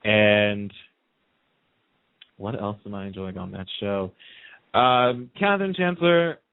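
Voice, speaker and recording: A man's voice: lowish voice, slow, clean tone, no echo. A man chatting casually and emphatically as an opinionated guest on a radio talk show. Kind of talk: casual chat about TV soaps. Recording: muffled, narrow phone-like line.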